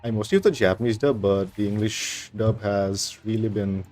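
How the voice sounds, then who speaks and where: A man's voice: on the low side, normal speed, darkish tone. A man streaming games, giving casual, rambling, laid-back commentary on his play. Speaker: game streamer. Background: faint game music.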